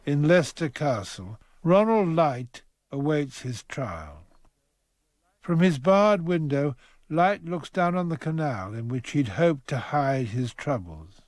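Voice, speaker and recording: A man narrating a period courtroom radio drama in a measured, literary manner. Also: fairly high pitch, big pitch swings, darkish tone, no room sound. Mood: wry, storytelling.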